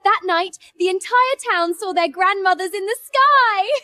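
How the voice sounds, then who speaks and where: A woman voicing a bubbly, pushy young woman who teases and dotes on a sulky young man in a dubbed animated drama. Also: very high-pitched, a little slow, no echo, heavily acted. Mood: storytelling. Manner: sing-song, teasing and exuberant.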